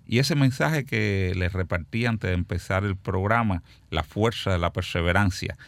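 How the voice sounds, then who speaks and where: Deep-voiced formal radio host. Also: male voice, fairly bright tone, no room sound. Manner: formal, measured, with many "eh" fillers. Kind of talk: community radio panel.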